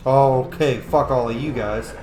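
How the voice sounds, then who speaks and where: A man's voice: mid-range voice, unhurried, darkish tone. A man giving casual, sweary gameplay commentary as a let's play host, talking to the game's characters as he plays. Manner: sweary, talks to game characters. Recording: roomy echo, clear background noise.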